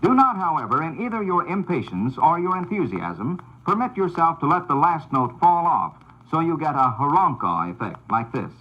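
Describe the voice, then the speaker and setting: A man giving scripted, formal instructional narration on an old 78rpm record, in a measured, announcer-like delivery. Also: fairly high pitch, big pitch swings, sharp tone, muffled recording.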